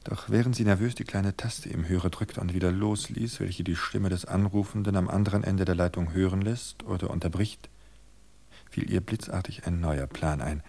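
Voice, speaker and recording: A man reading a suspense story aloud in a measured, tense narration. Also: low-pitched, fairly even intonation, creaky, warm tone.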